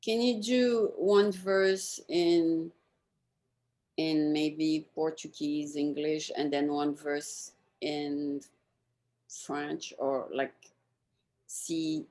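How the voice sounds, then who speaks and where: Low-pitched woman hosting an interview. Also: steady tone, extremely slow, pauses often, a little mellow, poor audio. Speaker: host, interviewer. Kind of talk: casual interview questioning.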